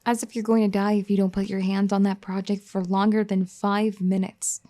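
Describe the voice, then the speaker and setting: A woman voicing a firm but caring young wife who scolds and then gently pleads in an audio roleplay. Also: medium pitch, little pitch movement, normal speed, natural voice. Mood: scolding, sassy. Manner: bossy teasing scolding that turns gentle and pleading. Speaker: ASMR roleplay performer. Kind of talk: audio roleplay addressed to the listener.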